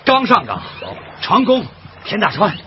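Animated, folksy Northeastern Chinese working man. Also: high-pitched, a little slow, full character voice. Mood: cheerful, bustling. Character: earnest, stubborn, cheerful laid-off worker turned boatman, husband. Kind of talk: Chinese radio drama acting. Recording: dull lo-fi audio, loud background noise.

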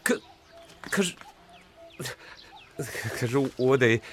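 A hesitant, humble farmer, lively-pitched. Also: male voice, slow pace. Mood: flustered. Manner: hesitant, stammering, deferential. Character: humble, hesitant peasant farmer, earnest and deferential. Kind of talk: Chinese radio drama acting.

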